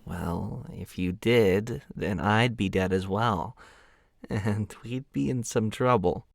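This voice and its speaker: A man voicing a gentle young game character who calms an injured stranger with soft, reassuring words. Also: fairly low pitch, slow pace, vocal fry, dry recording, studio quality, full character voice. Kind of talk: voiced visual novel lines.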